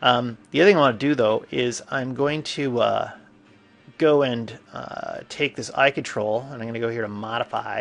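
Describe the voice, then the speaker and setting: A man with a stuffy cold, teaching a software tutorial in a casual, thinking-aloud way with frequent fillers. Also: medium pitch, gravelly. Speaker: 3D animation instructor. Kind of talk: screen-recorded software tutorial.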